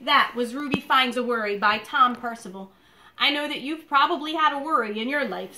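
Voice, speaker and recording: A woman teacher speaking to young children in an expressive, deliberate read-aloud style, recorded at home in a living room. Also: fairly high pitch, poor audio. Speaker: elementary school teacher reading a picture book aloud.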